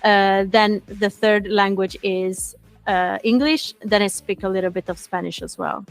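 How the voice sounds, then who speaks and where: A woman from Italy, a native Italian speaker with an Italian accent, answering interview questions earnestly with frequent pauses and fillers. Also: medium pitch, steady tone, faint music behind. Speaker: show co-host.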